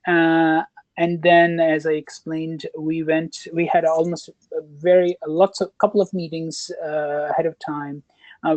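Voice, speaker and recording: Counselor on a webinar call, very dry sound, keeps saying uh and restarting, middle pitch. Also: a little mellow, dry recording, rough recording. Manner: hesitant, many fillers and restarts. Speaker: counselor and program researcher. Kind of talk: webinar Q&A answers.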